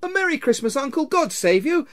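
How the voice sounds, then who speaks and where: A man voicing a cheerful, warm-hearted nephew in a storybook reading, eager and earnest. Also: high-pitched, huge pitch swings, brisk, voice pushed up, full character voice. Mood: cheerful, teasing.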